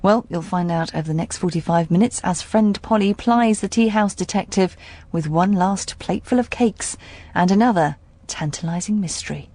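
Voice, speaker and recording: A woman's voice: lowish voice, moderate pace, dark tone. A woman radio announcer reading a scripted introduction to a programme.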